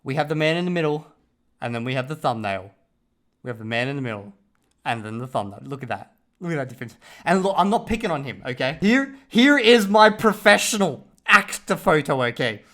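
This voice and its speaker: A man's voice: fairly high pitch, sing-song, fairly quick, a bit bright. Blunt, swearing man reacting to a video. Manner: profane, blunt, laughing asides. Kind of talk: casual reaction commentary.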